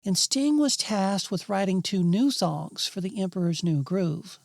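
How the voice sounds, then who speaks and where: Mellow woman narrating a video essay. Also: medium pitch, expressive, a little slow, gravelly, dry recording, clean studio sound. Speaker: narrator and host.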